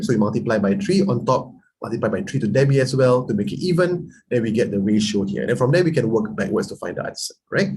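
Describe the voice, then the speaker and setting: Husky man tutoring math. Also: medium pitch, low-quality recording. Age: 30s. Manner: lively, chatty teaching with quiz questions to students. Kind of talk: online lesson.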